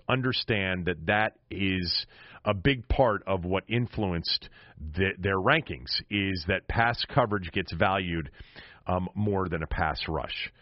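A man hosting a sports podcast, giving conversational commentary and emphatically restating his points. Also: low-pitched. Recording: roomy, dull lo-fi audio.

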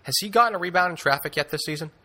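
A man's voice: high-pitched, lively intonation, rapid-fire, bright, forceful tone. A man hosting a sports podcast, cutting in with wry questions and short interjections. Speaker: podcast host. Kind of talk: podcast hosting and sponsor reads.

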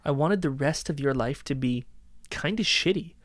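A man acting a cold, gloating killer who calmly taunts his ex in a dark audio drama. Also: on the high side, no echo. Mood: smug, menacing. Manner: casual, calmly taunting, unhurried menace.

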